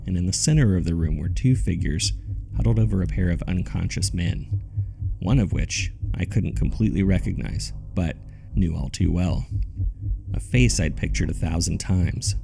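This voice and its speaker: A man narrating a horror audio drama as a grave witness, in a deliberate, somber storytelling manner. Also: low voice, creaky, mellow, a little hiss or hum. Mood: grave, uneasy.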